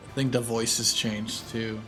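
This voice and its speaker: A man doing low-key video game playthrough commentary, musing to himself and reading aloud. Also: little pitch movement, unhurried, over music.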